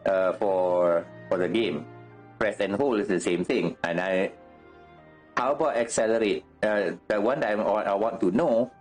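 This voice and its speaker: A man chatting casually as a game streamer, halting and repeating himself as he searches for words, mildly exasperated. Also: lowish voice, a little mellow, rough recording. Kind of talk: casual livestream chat while gaming. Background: music.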